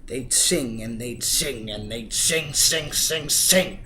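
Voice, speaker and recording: A man reading a rhyming children's story aloud in an expressive, theatrical and emphatic way. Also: medium pitch, takes it slowly, a little hiss or hum. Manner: theatrical, emphatic, swelling and dropping for effect. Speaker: storybook reader.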